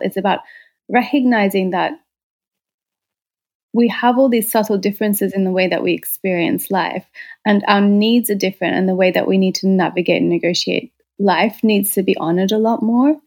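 A woman's voice: mid-range voice, creaky, a little mellow. A woman from Austria who moved to Australia as a child, speaking candidly and reflectively as an interview guest. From born in Austria, moved to Australia as a child. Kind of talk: personal storytelling in a conversational interview.

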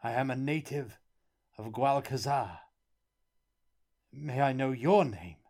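A man voicing a miserable, guilt-ridden prisoner with a hoarse, tearful, pleading voice. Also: mid-range voice, slow pace, a little mellow, voice pushed up. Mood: weary.

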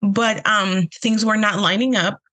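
A woman's voice: mid-range voice, fairly even intonation, unhurried, pushed and bright. A young adult woman from Honduras with a Honduran accent, a podcast guest chatting casually, hesitant and full of fillers, laughing often. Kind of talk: casual interview chat.

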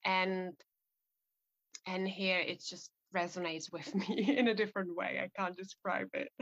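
A woman from the Republic, hosting an interview in an earnest, soft manner full of fillers. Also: medium pitch, fairly even intonation, measured pace. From Czech Republic. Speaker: podcast host. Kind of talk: reflective interview conversation. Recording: dull lo-fi sound.

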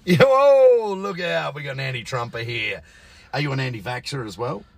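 An Australian man with a gravelly, husky voice hosts a laid-back chat show from a booth. He ribs his guest constantly, cursing and laughing as he steers the talk. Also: medium pitch, expressive, moderate pace, no echo. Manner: joking, teasing, swears freely. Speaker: podcast host. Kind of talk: casual banter with a guest. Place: radio booth.

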